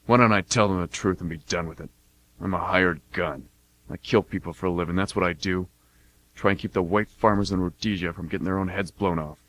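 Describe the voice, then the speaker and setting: A man's voice: low voice, fairly even intonation, a little fast, fairly bright tone, no echo. A man acting a weary, self-deprecating mercenary in his late twenties in a radio play, talking in a folksy, hesitant mutter. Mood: bitter, self-mocking.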